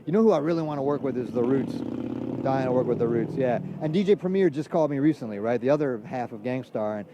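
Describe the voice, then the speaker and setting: A man from Trinidad chatting casually as a musician being interviewed, using relaxed hip hop slang. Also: mid-range voice, quick pace, muffled recording, background noise. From Trinidad, from San Fernando. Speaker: jazz trumpet player, interview guest. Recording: loud music in the background.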